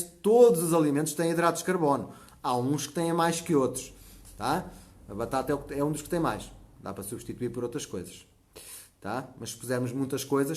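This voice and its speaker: A man in his forties with a European Portuguese accent, talking easily in a slightly high voice. He hosts a natural health live stream and chats through viewer comments. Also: darkish tone. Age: 40s. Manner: chatty, often checks in with a quick "tá?". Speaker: natural health channel host.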